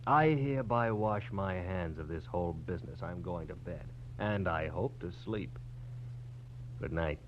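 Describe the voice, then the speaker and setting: An actor playing a slippery, belligerent crook who blusters and dodges questions in 1940s radio style. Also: male voice, sits low, measured pace. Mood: smug, tired. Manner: blustering, evasive. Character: slippery, belligerent male crook, smug when beaten. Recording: noisy, muffled old radio recording with hum.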